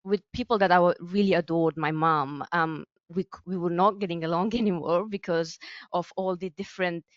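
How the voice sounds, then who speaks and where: Young woman, smooth and earnest. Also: fairly low pitch, fairly even intonation, fairly quick, no room sound, muffled recording. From Afghanistan. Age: young adult. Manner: earnest and candid, with frequent ums. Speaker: youth advisory council speaker. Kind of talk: personal speech at a public event. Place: event venue with a podium.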